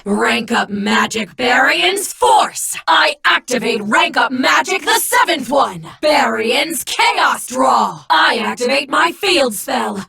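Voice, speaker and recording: A woman's voice: high voice, gravelly, bright, forceful tone, husky, heavily acted. A woman voicing a fierce, icy, vengeful warrior woman in an anime card game, with theatrical, commanding delivery. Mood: firm, commanding. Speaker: video game character voice.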